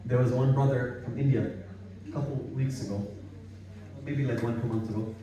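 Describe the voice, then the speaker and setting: A man's voice: mid-range voice, fairly even intonation, moderate pace, a crowd in the background. A man from Bangladesh gives an informal religious talk in a conversational, repetitive way, often checking that listeners agree. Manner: conversational, repetitive, seeking agreement with tag questions. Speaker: Islamic study circle speaker. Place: gathering in a private house. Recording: echoey room, distant microphone.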